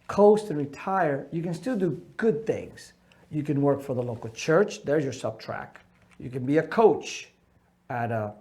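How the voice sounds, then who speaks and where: A middle-aged man from Ecuador leads a seminar on leaving the military, his voice sitting a little high for a man. He talks in an earnest, emphatic way, pushing key words loud and then dropping soft. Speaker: military transition coach. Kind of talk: seminar talk.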